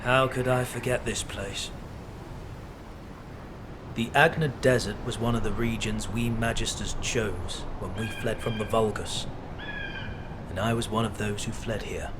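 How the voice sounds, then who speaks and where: An actor playing a smooth, scheming middle-aged strategist in a video game, speaking in a measured, formal, persuasive way. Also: male voice, lowish voice, steady tone, close to an everyday voice. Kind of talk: video game cutscene dialogue. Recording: game audio with loud background noise.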